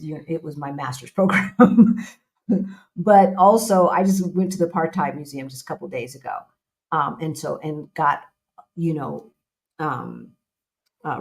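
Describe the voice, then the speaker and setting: A woman hosting a casual live-stream interview, chatty and repeating phrases, with loose casual slang. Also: sits low. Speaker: host.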